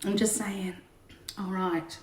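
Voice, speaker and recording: A woman's voice: medium pitch, fairly even intonation, takes it slowly. A woman, a singer and singing teacher, chatting casually and joking between songs on a home livestream. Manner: chatty, joking, self-deprecating, big belting voice. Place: home room during lockdown. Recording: roomy echo, faint backing music.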